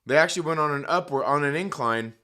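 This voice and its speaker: Man, hoarse, joking, profane live-stream chatter, bright tense tone. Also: fairly high pitch, quick pace. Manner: profane, joking, rambling, reads chat aloud. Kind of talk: casual live stream chat.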